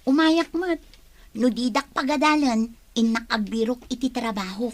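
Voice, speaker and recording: Young village woman, acted by a woman, fairly high voice, resolute with a plaintive edge, radio-style drama. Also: moderate pace, close to an everyday voice. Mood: plaintive, determined. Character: determined young village woman wanting work and school. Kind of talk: dialogue in an amateur audio drama.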